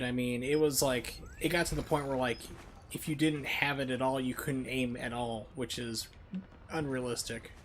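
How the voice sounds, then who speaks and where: Guy streaming a game, chatting casually and explaining stuff, average pitch, some clear noise behind him. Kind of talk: casual live gameplay commentary.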